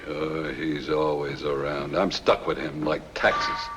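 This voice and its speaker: An actor playing an exasperated, long-suffering trucking boss in a 1950s film, grumbling sarcastically and repeating himself. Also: male voice, very deep voice, level tone, slow, pushed and bright, full character voice. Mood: weary grumbling. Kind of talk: 1950s film comic character acting. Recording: reverberant, noisy old film soundtrack.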